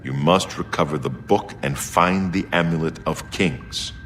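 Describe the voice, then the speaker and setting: A man voicing a gruff, resolute seasoned warrior and secret agent in a fantasy video game. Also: extremely low pitch, measured pace, a bit bright, a little hiss or hum. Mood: grave, resolute. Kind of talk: video game dialogue acting.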